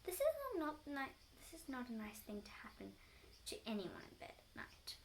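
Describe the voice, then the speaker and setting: Woman playing a cranky old wife, high-voiced. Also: wildly swooping pitch, normal speed, slight background noise, heavily acted. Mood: distressed. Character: cranky, suspicious old wife, shrill when alarmed.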